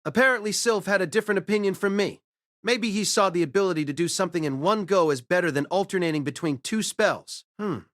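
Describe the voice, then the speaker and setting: Man voicing a wry, high-pitched young mage narrator. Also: fast, a bit bright, no room sound, studio quality. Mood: wry narration. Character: young boy mage narrating his reincarnated life, wry and self-deprecating. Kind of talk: first-person audiobook narration with acted dialogue.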